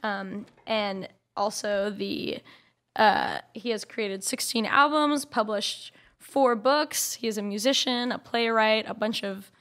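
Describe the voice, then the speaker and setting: A woman co-hosting a podcast, speaking informally and a little haltingly. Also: medium pitch, a bit bright. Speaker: podcast co-host. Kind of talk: casual live introduction and chat.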